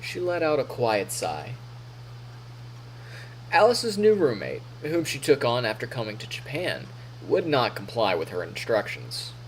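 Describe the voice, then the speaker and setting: A man reads a visual novel aloud as a streamer, with very animated, swinging intonation and a playful storyteller's delivery. He mixes narration with casual asides, and faint noise sits behind his voice. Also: medium pitch, a little slow, poor audio.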